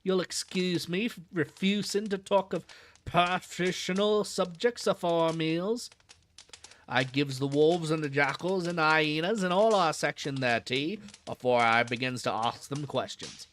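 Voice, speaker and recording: A man reading aloud and voicing a gruff old male zookeeper, grumbling in a rough dialect with dropped h's. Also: on the high side, a little slow, fairly bright tone, slight background noise.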